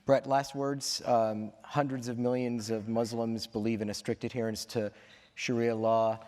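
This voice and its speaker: A man's voice: mid-range voice, little pitch movement, unhurried, a little mellow. A man moderating a public event, hesitantly reading audience questions aloud. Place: large hall. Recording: reverberant hall.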